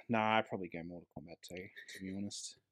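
A man's voice: low-pitched, brisk, breathy edge. An Australian man co-hosting a casual film podcast, talking in a blokey, emphatic way with some swearing. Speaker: podcast co-host.

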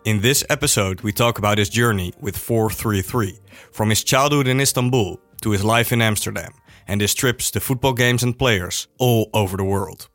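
A man hosting a podcast who reads a scripted intro and then interviews his guest in a relaxed way. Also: on the low side, normal speed, soft background music. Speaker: podcast host.

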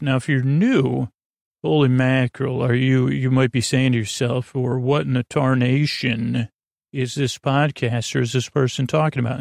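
A man hosting a bedtime podcast in a halting, meandering monologue that keeps drifting into tangents, with a creaky, gravelly voice. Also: medium pitch, no room sound, clean studio sound. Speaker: podcast host.

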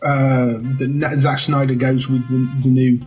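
A man's voice: medium pitch, flat delivery, slow, darkish tone, soft background music. A man hosting a casual podcast chat, hesitant with many fillers and easy laughs. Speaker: podcast host.

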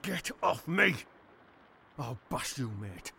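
An actor playing a defiant washed-up middle-aged boxer held hostage in an audio drama, blunt and combative, with a British accent. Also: male voice, mid-range voice, big pitch swings, slow, gravelly, pushed and bright, slight background noise, exaggerated voice. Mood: angry, protective.

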